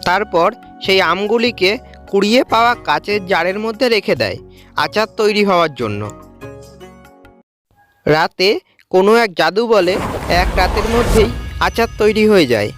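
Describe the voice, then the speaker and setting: A woman's voice: medium pitch, lively intonation, a little slow, pauses often, faint music behind. A woman reading scripted narration for a cartoon story as its storyteller.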